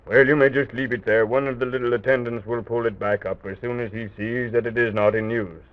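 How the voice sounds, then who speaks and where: An actor playing a kindly enchanted knight who guides visitors in an old children's radio serial, speaking in a measured, formal, explanatory way. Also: male voice, medium pitch, fairly even intonation, lightly acted. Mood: calm, courteous. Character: kindly male enchanted armored knight guide, patient and stately. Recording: noisy, dull vintage radio recording.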